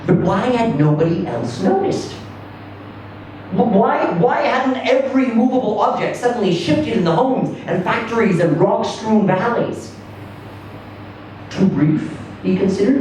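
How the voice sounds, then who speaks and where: A man performs a first-person story in a very echoey space, heard at some distance from the microphone. His delivery is conversational and theatrical, with lively swings in pitch and deliberate pauses. Faint noise sits under the voice. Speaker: reader performing a short story. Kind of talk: dramatic first-person story reading to a live audience. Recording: roomy, echoing, distant mic with faint noise.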